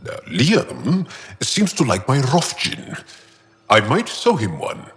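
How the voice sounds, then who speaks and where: A man playing an earnest, self-doubting, gentle young alien warrior in a video game, speaking haltingly and deliberately. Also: medium pitch, wildly swooping pitch, full character voice. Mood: awkwardly eager. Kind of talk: video game character acting.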